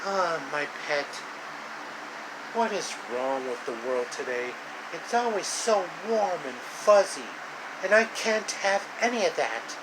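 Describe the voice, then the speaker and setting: A man's voice: on the high side, slow, pitched above the performer's own voice. Man voicing an imperious, grumpy ice queen, noisy recording. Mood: disdainful. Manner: commanding, disdainful. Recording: steady loud room hum and hiss.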